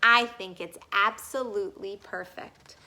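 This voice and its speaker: A woman reading a storybook as a kindly, proud music teacher, gentle and deliberate. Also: medium pitch, steady tone. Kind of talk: storybook character voice.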